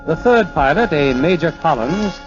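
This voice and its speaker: A male radio theater host from the 1940s, formal and stately, with a fairly high voice. He reads polished scripted narration, with some music, on a dull vintage recording. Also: animated, normal speed.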